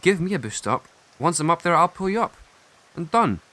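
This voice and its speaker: An actor playing a cheeky, sceptical, grumbling survivor with a sarcastic manner in an audio drama. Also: male voice, a little high, very animated, fairly quick, pushed and bright. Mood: eager, impatient.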